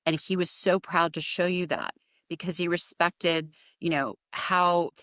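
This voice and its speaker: A woman hosts a podcast interview in a slightly low voice. She asks questions in an enthusiastic, rambling way. The recording is close and dry. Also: measured pace, a bit bright, no room sound. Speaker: podcast host. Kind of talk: conversational interview.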